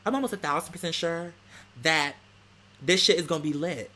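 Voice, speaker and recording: A man's voice: a little high, a little fast, pushed and bright. A man chatting casually to supporters like a podcast host, in a sassy, slangy, emphatic storytelling way. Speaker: online creator and podcast host. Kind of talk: casual gossipy vlog chat to supporters.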